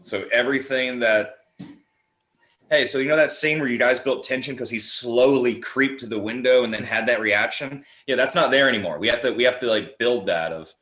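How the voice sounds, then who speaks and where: A man's voice: mid-range voice, normal speed, pushed and bright. A man speaking as a guest playwright in a casual podcast chat, explaining things by acting out examples, recorded with roomy echo. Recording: roomy, echoey sound.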